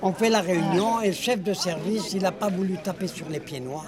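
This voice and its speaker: A man in his eighties from Algeria, telling an old story to friends in a lively, chatty way, often laughing. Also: sits high, fairly quick. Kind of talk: casual anecdote. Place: outdoor gathering. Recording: outdoor home video with busy crowd. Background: crowd chatter.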